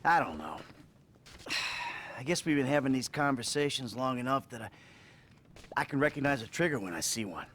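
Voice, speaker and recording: A man's voice: mid-range voice, very animated, moderate pace, long pauses, a bit bright. An actor playing a troubled middle-aged American criminal confessing to his therapist, hesitant, sighing and sardonic, in a naturalistic video game style. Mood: agitated, bitter.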